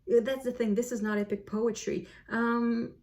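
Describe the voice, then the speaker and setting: Higher-voiced, mellow woman with a French accent. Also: little pitch movement, normal speed. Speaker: book reviewer. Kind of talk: casual book review to viewers.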